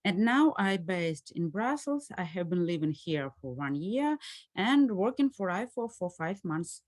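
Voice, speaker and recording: A woman's voice: lowish voice, very animated, a little slow, darkish tone, no room sound. A woman from Ukraine with a Ukrainian accent, giving a personal account as a panel guest. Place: virtual conference call.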